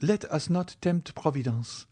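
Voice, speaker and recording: A man's voice: fairly high pitch, a little slow, warm tone, slightly rough, pitched above the performer's own voice. A man voicing a young, devout woman torn by love, speaking in an impassioned, pleading way in an audiobook reading. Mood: earnest.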